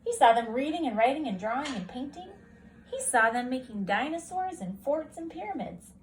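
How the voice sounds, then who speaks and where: A woman's voice: sits high, big pitch swings, moderate pace, slight background noise, rough recording. A woman narrating a children's storybook aloud expressively, like a teacher reading to children at home. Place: at a kitchen table at home.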